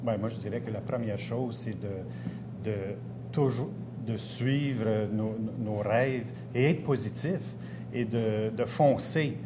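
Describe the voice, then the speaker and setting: A middle-aged man from Ontario answering interview questions conversationally, with frequent pauses. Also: medium pitch. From Ontario, Canada. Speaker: engineer, entrepreneur and interview guest. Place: restaurant. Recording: clear background ambience noise.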